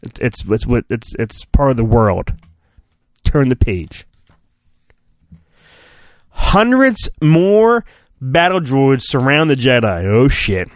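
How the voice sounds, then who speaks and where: A man's voice: medium pitch, very animated, takes it slowly, pauses often, dry recording, studio quality. A man hosting a podcast, giving a mock-dramatic storybook reading and breaking off for irreverent, crude asides. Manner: theatrical, irreverent, often breaking off to comment. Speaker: podcast host.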